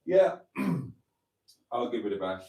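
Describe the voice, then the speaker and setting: A man's voice: mid-range voice, sing-song, takes it slowly, gravelly, warm tone, rough recording. A Welsh man, a native Welsh speaker, hosting a live stream and musing in a meandering way, with many fillers and restarts. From Wales. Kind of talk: casual live stream chat.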